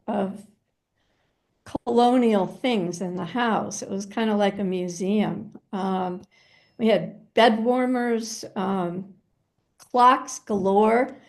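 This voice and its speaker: A woman's voice: mid-range voice, creaky. An American woman from New Hampshire, an interview guest telling stories casually in a hesitant, reflective way. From New Hampshire, United States. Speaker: guest, novelist.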